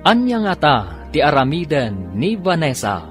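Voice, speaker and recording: A man announcing the credits of a radio drama in a measured, deliberate way. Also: fairly high pitch, huge pitch swings, fairly bright tone. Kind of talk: scripted announcing. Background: music.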